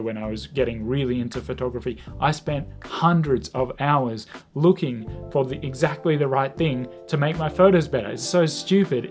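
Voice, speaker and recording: A man talking casually to camera about language learning, reflective and self-deprecating, with many "like"s. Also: medium pitch.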